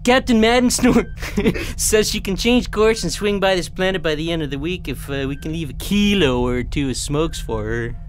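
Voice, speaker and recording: Male actor as excitable spaceship crewman: high, swooping delivery, sci-fi radio drama. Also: moderate pace, few pauses, close to an everyday voice. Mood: amused, reporting. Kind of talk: sci-fi audio theatre acting.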